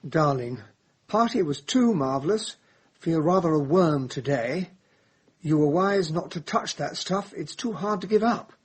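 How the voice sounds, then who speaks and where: An actor playing a prim, honourable English gentleman sidekick in a radio drama, proper and slightly flustered in manner. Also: fairly high pitch, lively intonation, measured pace, slightly rough. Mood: reading, matter-of-fact.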